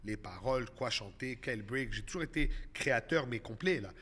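A man's voice: medium pitch, normal speed, a little hiss or hum. A man in his thirties with a Québécois accent, telling stories in a casual podcast chat and dropping English words. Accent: Québécois French. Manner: animated storytelling, mixes English words. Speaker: comedian guest.

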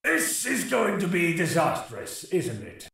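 Creaky, echoing old ghost grandpa. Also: male voice, on the high side, wildly swooping pitch, a little slow, a bit bright, full character voice. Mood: wry amusement. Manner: folksy, sardonic. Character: wry old grandfather ghost, jovial and sardonic. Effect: ghostly echo. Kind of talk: video game character acting. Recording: echoing, distant ghost effect.

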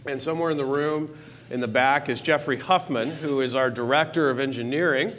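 A man's voice: fairly quick, fairly bright tone. Male executive, forties, enthusiastic keynote delivery on a lapel mic in a large hall, light room noise. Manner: upbeat, enthusiastic pitch with stressed repeated words. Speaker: keynote speaker, technology executive. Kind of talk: conference keynote speech. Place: large conference hall stage.

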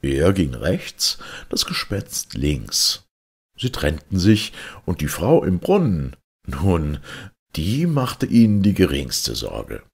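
A man reading a fairy tale as a storyteller, in a dramatic, expressive and deliberate manner. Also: sits low, breathy edge.

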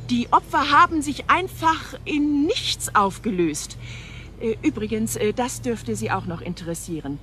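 Female news reporter, higher voice, self-assured, radio play, faint music. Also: expressive, a little slow, bright, forceful tone. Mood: matter-of-fact, assured.

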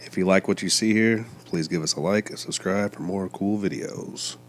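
A man's voice: low voice, normal speed. A man hosting a video channel, giving a scripted introduction to viewers.

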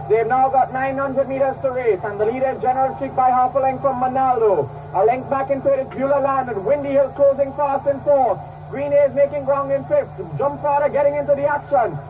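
A man calling a horse race in rapid, clipped commentary. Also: very high-pitched, far from the mic, background noise. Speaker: race caller.

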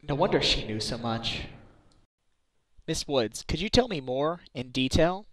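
A man's voice: medium pitch, very animated, a little slow, a bit bright, no echo. A man voicing an earnest, determined defense lawyer in a fan-dubbed video game. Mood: curious. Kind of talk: fan dub of a visual-novel video game.

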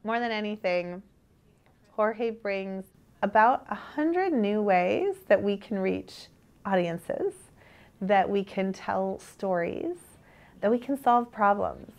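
A woman's voice: medium pitch, expressive, slow pace. An American woman in her thirties speaking earnestly in a documentary interview as a video producer. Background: faint music.

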